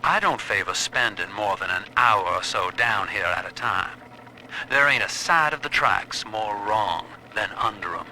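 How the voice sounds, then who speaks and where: A man's voice: on the low side, animated, measured pace, pushed and bright, full character voice. A man playing a shrewd, disdainful, folksy property developer in a period game audio log. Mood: wry, disdainful. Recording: faintly noisy, radio-like audio log.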